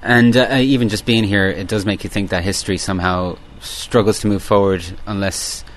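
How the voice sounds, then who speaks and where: Low-voiced podcast host, rambling questions. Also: male voice, fairly even intonation, a little fast. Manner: long rambling questions with fillers. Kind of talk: interview questions.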